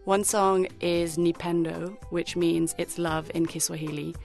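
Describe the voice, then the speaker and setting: A woman's voice: lowish voice, little pitch movement, a little slow, gravelly, darkish tone, soft background music. A woman from Kenya with a British and Kenyan accent, a radio producer telling personal stories in a scripted segment.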